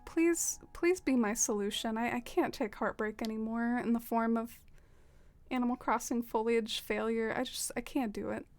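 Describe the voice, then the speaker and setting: A woman's voice: a little high, normal speed, faint music behind. A young woman from the American South with a Southern accent, chatting casually and self-deprecatingly while streaming a game. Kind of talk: casual live-stream chat while gaming.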